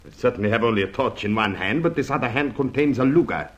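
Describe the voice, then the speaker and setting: An actor playing a suave, mock-courteous foreign villain in a radio drama, speaking formally and with polite menace. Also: male voice, medium pitch, animated, fast, close to an everyday voice. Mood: polite threat. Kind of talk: old-time radio drama acting.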